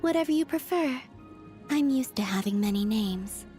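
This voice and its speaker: A woman voicing a serene, gently curious young moon goddess in a video game, soft, airy and unhurried, with a dreamy, naive manner. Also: a little high, animated, soft background music, natural voice. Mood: serene, gentle. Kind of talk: video game character voice lines.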